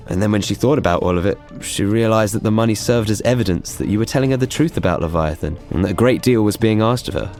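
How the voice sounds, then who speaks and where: An actor playing a pragmatic, defensive operative in an audio drama, matter-of-fact and skeptical. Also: male voice, lowish voice, fast talker, few pauses, a little mellow, faint music behind, natural voice. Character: defensive, pragmatic male operative reporting to his leader.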